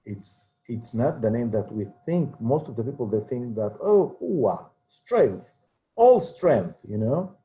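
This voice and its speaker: A man's voice: medium pitch, sing-song, measured pace, warm tone, rough recording. A man teaching a religious class in an informal, hesitant way, with frequent fillers. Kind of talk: informal lesson talk.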